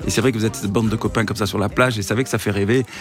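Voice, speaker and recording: A man hosting a radio interview show, brisk and enthusiastic, complimenting his guest. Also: fairly low pitch, steady tone. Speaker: radio host. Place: radio studio.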